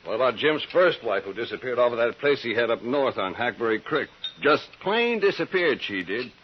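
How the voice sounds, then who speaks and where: An actor playing a spiteful, cowardly, gossiping American homesteader in a western radio drama, needling and repeating himself. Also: male voice, medium pitch, big pitch swings, moderate pace, natural voice. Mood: accusing. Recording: dull, noisy old radio recording.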